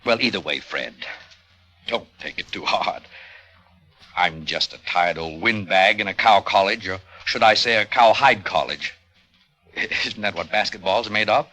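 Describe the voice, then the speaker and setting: A tired older American college professor in an old radio play, lowish and brightly projected. He rambles in a wistful, dry, self-mocking way. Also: unhurried, soft background music. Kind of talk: mid-century American radio drama acting.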